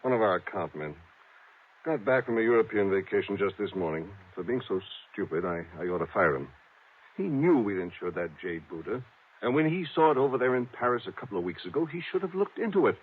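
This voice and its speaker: A man's voice: fairly low pitch, animated, fairly quick, lightly acted. Man playing an exasperated insurance boss, narrow radio sound. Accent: American. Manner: blunt, fretful, conversational. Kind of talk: 1950s radio acting. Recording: narrow old radio, faint noise.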